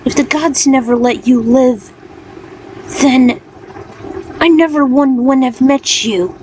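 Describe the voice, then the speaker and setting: Woman acting devoted male fighter, storybook dialogue, tender, consoling, heightened pitch, background noise. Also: slow pace, darkish tone. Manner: earnest, consoling. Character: tender, devoted male warrior comforting his beloved. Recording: clearly noisy background.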